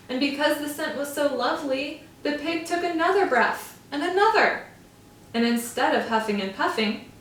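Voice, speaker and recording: A woman teacher reading a storybook aloud to young children, with slow, expressive, drawn-out emphasis. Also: sits high, slight background noise. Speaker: first-grade teacher. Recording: roomy echo, from far off.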